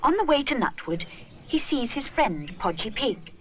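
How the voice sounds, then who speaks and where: A woman's voice: on the high side, sing-song, moderate pace, fairly bright tone, lo-fi sound, a little hiss or hum. A woman reading a scripted children's story expressively.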